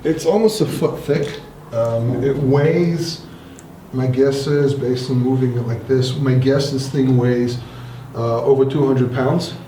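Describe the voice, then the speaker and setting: A man in his thirties with an Israeli accent, explaining things in a relaxed, hesitant way with many fillers. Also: mid-range voice, slow pace, slight background noise. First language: Hebrew. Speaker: interviewee. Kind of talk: casual explanatory talk. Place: bomb shelter in an apartment building. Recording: echoey concrete room.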